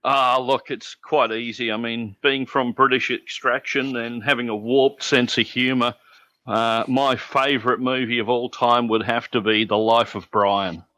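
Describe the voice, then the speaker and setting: A man's voice: medium pitch, steady tone, measured pace, sharp tone, no echo. A man from South Africa with an Australian accent, chatting on a podcast in a dry, joking way. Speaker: podcast guest. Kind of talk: casual chat.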